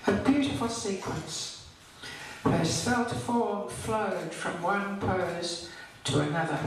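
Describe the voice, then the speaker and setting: An older woman recites a poem at a slow, deliberate pace. Her voice is heard from a distance in a very echoey hall. There is some background noise around it. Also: medium pitch. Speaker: poet reading her own poem. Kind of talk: scripted poetry recital.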